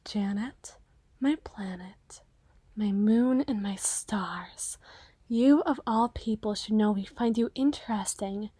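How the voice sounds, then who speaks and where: A doting yet quietly menacing monster woman acted by a female performer. She talks in a lowered, measured, breathy half-whisper, very close and dry, as intimate roleplay audio. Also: no echo. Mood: tender, composed. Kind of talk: ASMR roleplay acting.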